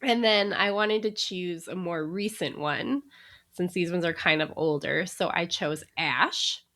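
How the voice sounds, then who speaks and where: A woman's voice: fairly low pitch, unhurried, a bit bright. A woman co-hosting a podcast in casual chat, talking easily and laughing often between remarks. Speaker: podcast co-host.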